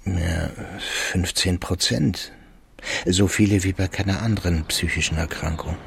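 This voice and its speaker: A man playing a calm, measured clinic doctor in a radio drama, with a husky, gravelly voice and matter-of-fact delivery. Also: deep voice, a little hiss or hum. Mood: measured, sober.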